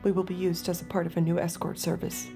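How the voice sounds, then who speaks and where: A young woman with an American accent playing an earnest, secretive android in an audio drama, speaking in a hushed, halting and pleading way. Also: fairly low pitch, little pitch movement, soft background music, close to an everyday voice. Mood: hushed, confessional. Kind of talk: naturalistic audio drama acting.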